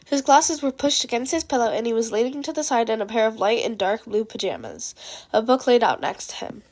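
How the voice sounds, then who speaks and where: A woman with a fairly high voice reads a story aloud in an amateur audiobook style. She sounds slightly sick as she narrates. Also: lively intonation, fairly quick, a little mellow. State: sick with a cold. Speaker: fan fiction narrator.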